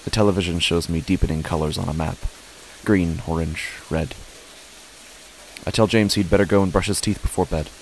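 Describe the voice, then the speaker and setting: A man's voice: fairly even intonation, a little fast, a little mellow, a little hiss or hum, low-quality recording. A man reading scripted horror fiction as a first-person narrator. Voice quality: low-pitched. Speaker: podcast host and narrator.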